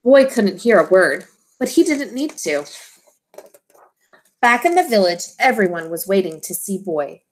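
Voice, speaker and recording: Lady teacher doing storytime for little kids, takes it slow, very expressive up and down voice. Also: medium pitch, poor audio. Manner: slow, deliberate, simple phrasing for young children. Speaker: teacher reading aloud to children. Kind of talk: expressive children's storybook read-aloud.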